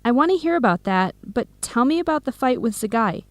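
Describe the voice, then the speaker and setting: A woman's voice: fairly high pitch, lively intonation, a little fast, no echo, lightly acted. An actress playing a busy, ambitious, practical young woman in an educational radio drama. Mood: matter-of-fact.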